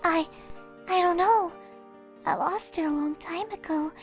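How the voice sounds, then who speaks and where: Timid young cartoon mare, very high voice, slow, gentle, hesitant stammer. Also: female voice, soft background music. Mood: shaken, wondering.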